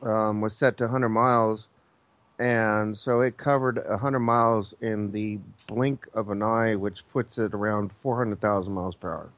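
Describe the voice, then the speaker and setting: Male guest storyteller, lowish pitch, hesitant and halting, recorded dry. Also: steady tone, dry recording, highs cut off. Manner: halting, hesitant, searching for words. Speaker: guest, air traffic controller. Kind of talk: casual storytelling.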